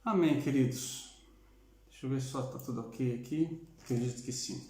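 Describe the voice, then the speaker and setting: A man's voice: medium pitch, moderate pace, mellow. Southern Brazilian man, echoey room. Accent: southern Brazilian Portuguese. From Curitiba, southern Brazil. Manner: conversational, frequent tag questions. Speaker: pastor. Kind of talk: informal livestream talk to a church audience.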